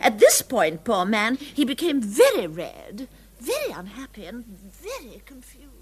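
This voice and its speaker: An actress playing a scatty, gossipy elderly duchess with an upper-class English accent in a radio drama. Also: female voice, fairly high pitch, huge pitch swings, a little slow. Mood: confiding. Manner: gossipy, rambling, effusive.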